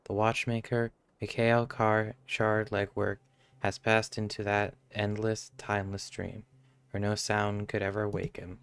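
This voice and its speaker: Male game streamer, low voice, casual commentary, offhand read-aloud delivery. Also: flat delivery, measured pace, gravelly, fairly bright tone, clean tone, no echo.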